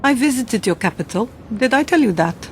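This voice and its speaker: An actress playing a cool, teasing, world-weary Polish woman spy in a radio drama, speaking in a measured, formal way. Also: a little high, animated, lightly acted. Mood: playful, curious. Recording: clearly noisy background.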